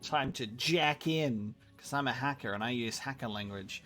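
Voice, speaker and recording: A man's voice: medium pitch, moderate pace, fairly bright tone, faint music behind. A man talking casually as a game streamer, in a rambling, informal way with some casual swearing. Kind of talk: casual live-stream chat and game reaction.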